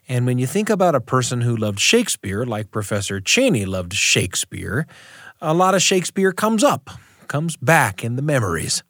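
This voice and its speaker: A man hosting a radio show, telling personal stories in a reflective, unhurried way into a close studio microphone. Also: medium pitch, a bit bright, no echo. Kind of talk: warm personal storytelling and studio chat.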